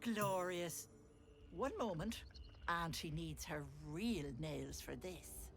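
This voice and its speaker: A woman's voice: lowish voice, lively intonation, slow, gravelly, noisy background, heavily acted. A woman voicing a sly old hag who poses as a doting auntie in a video game, with an Irish accent and sugary endearments edged with menace. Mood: coaxing, sly. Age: older. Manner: cloying endearments turning to menace. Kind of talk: theatrical video game acting.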